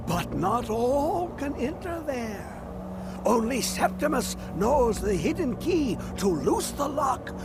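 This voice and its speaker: An actor playing a mad, rambling old hermit scholar in a fantasy video game, singsong, erratic and cryptic. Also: male voice, sits high, heavily acted. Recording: clear background game ambience noise.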